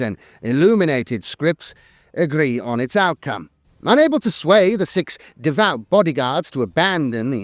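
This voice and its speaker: A man narrating scripted lore in a solemn, theatrical, measured manner. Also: on the high side, no echo, studio quality. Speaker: narrator and host of a lore channel.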